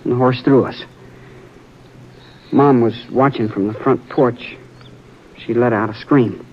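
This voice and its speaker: A man's voice: mid-range voice, a little slow, lightly acted. An actor playing a troubled, frightened boy on a ranch, pleading and defensive, in a 1950s radio drama. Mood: confessing. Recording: dull old radio broadcast.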